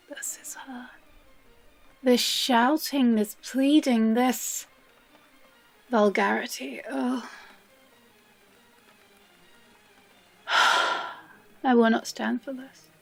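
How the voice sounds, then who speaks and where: A woman playing an obsessive, possessive librarian captor in a dramatic audio roleplay, breathy and often whispering, with theatrical pauses and a tenderly menacing manner. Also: a little high, steady tone, soft background music, full character voice. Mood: indignant, hurt.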